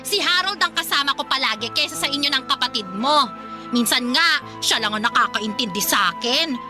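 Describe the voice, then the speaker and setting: A woman's voice: high-pitched, expressive, fairly quick, over music. A woman playing a stubborn, lovestruck middle-aged mother in a radio drama, defensive and dramatic. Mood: defensive, smitten. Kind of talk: Filipino radio drama acting.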